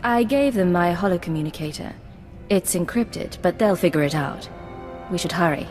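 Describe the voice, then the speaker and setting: An actress playing a cool, resolute secret agent in a video game, with a controlled, serious and clipped delivery. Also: female voice, fairly low pitch, moderate pace, a little mellow, natural voice. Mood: businesslike. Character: cool, resolute female secret agent, self-possessed and guarded. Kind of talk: scripted dramatic game acting. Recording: reverberant hall, music behind.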